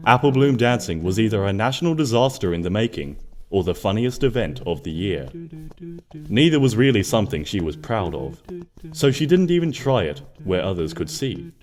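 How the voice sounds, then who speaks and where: A man narrating a scripted story expressively, in a gentle storybook manner. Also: on the low side, big pitch swings, moderate pace. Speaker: fan fiction narrator.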